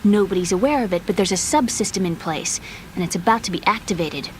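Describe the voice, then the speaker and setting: A woman's voice: medium pitch, quick pace, a little hiss or hum, natural voice, rough recording. An actress voicing an earnest, brainy young woman computer scientist in a video game, lecturing precisely and a little haughtily with pointed questions. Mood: grave, explaining. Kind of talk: scripted game cutscene dialogue.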